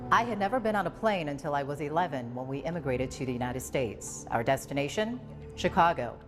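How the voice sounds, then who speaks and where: A woman's voice: deep voice, animated, fairly quick, over music. A woman from Taiwan whose first language is Mandarin, reading her own story in a reflective, scripted narration. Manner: reflective, read-aloud storytelling. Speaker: personal storyteller.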